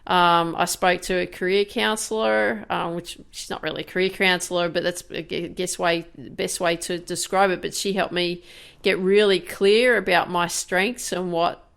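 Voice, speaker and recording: A woman in her fifties with a slightly low voice, talking her way through a candid, reflective podcast monologue. Her tone is smooth and resonant, with a gravelly edge, and she often stops and restarts mid-thought. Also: steady tone, fairly bright tone. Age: 50s. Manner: candid, halting, many restarts and fillers. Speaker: podcast host.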